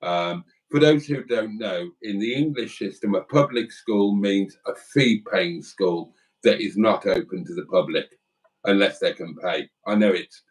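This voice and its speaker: A man's voice: fairly low pitch, a little slow, recorded from a distance, rough recording. Welsh-born guy reading out stream comments, rambly, sits back from the mic. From Wales. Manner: chatty and rambling, with asides to viewers. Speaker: co-host of a live stream. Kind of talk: casual live-stream chat, reading viewer comments.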